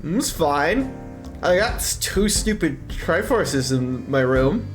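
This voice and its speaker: Animated man streaming a game. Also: fairly high pitch, slow. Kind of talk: casual game commentary with read-aloud dialogue. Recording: music under the voice.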